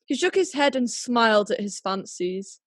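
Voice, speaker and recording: A woman narrating a radio play as a storyteller, measured and dramatic. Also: a little high, animated, no room sound, studio quality.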